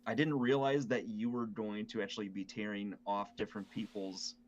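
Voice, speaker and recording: Male podcast host, lo-fi dull sound with noticeable noise, casual and halting game talk. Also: lowish voice, flat delivery. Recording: dull lo-fi mic, clear noise.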